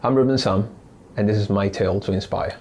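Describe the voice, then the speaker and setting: Deep, mellow-voiced man from Italy. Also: faint music behind. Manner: thoughtful, halting, many restarts and fillers. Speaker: podcast guest. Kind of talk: casual interview storytelling.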